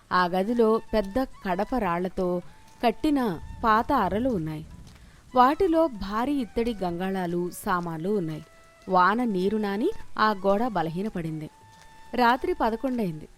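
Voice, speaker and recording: A woman narrating a scripted moral story as its storyteller. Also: mid-range voice.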